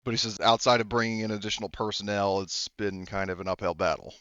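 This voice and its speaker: A man working as game master, narrating a tabletop game off the cuff in a halting, rambling, self-correcting way. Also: lowish voice, level tone, a bit bright, dry recording, muffled recording.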